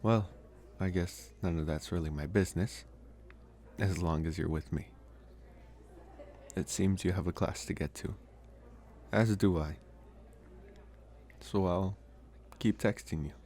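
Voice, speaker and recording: A man playing a young college vampire boyfriend in an ASMR roleplay, speaking gently and hesitantly, with wry playfulness. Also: sits low, unhurried, pauses often. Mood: grateful, tentative. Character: young male college vampire boyfriend, sweet, awkward, teasing.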